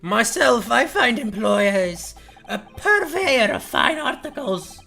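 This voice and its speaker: A woman's voice: fairly high pitch, big pitch swings, creaky, sharp tone, faint music behind, full character voice. Woman as a swooping, pompous old antique dealer. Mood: pompous, florid. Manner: pompous, ornate, drawn-out phrasing.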